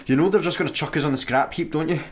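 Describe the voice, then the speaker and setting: An actress playing an anxious, sardonic young jobseeker in a radio comedy, fretful, grumbling and dramatic. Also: female voice, sits low, racing, close to an everyday voice. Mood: panicked. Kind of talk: radio sci-fi comedy acting.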